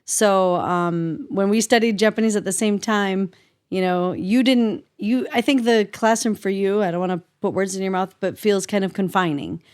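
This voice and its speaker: A woman in her thirties co-hosts a casual podcast, talking in a relaxed, mid-pitched voice. She is chatty and a little self-deprecating, often restarting her sentences and filling pauses with little words. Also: clear, resonant tone. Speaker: podcast co-host.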